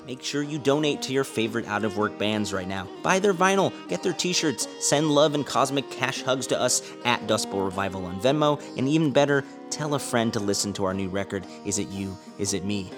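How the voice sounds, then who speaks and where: A man hosting a podcast, musing earnestly through rhetorical questions before he introduces a guest. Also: lowish voice. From United States. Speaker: podcast host and musician. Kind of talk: reflective monologue and interview.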